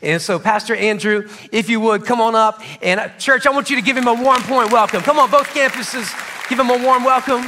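Bright upbeat man pastor on stage. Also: very high-pitched, a little fast, hardly any pauses, a faint crowd, slight background noise. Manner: upbeat, emphatic. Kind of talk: stage introduction. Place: church stage.

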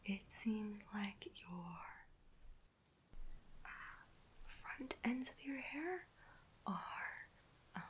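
A young woman whispering gently and breathily in a reassuring, softly hesitant ASMR hairdresser roleplay, close to the microphone. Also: mid-range voice, expressive, drawn-out words, long pauses, slight background noise. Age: young adult. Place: indoor room at home.

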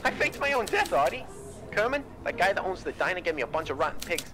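Excitable small-time schemer male actor, rapid and eager, raised pitch, audio drama. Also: over music. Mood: excited. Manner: hurried, eager delivery.

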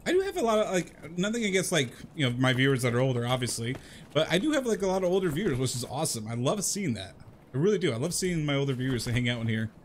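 A man's voice: medium pitch, sing-song, quick pace, slight background noise. A man in his thirties chatting casually to viewers as he plays a game, rambling and repeating himself in an informal way. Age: 30s. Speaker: video game livestream host.

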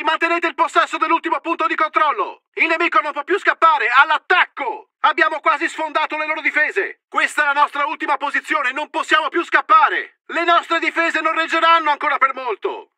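A fervent Italian army officer in a war video game. His voice is very high-pitched and piercingly tense, with a commanding, urgent delivery. The sound is dry and close, built for battle announcements. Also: bright, forceful tone, no room sound, exaggerated voice. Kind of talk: shouted military game announcements.

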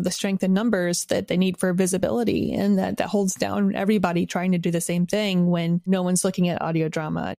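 Low-pitched woman in a close, dry interview. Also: gravelly, darkish tone, no echo. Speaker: podcast guest, audio drama creator. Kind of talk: casual interview conversation.

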